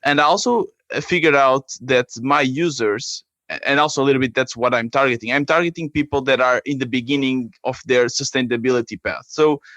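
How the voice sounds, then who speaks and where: A man from Portugal with a Portuguese accent, explaining his work haltingly as a guest in a conversational interview. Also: medium pitch, a bit bright, no room sound. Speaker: app creator, podcast guest.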